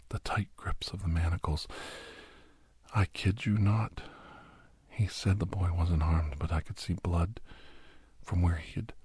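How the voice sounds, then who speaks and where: A man playing a captive doctor who grimly recounts his escape, half-whispered in a breathy ASMR horror narration with halting, deliberate phrases. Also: low voice, a little mellow, no room sound, heavily acted. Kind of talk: first-person scripted horror narration, ASMR.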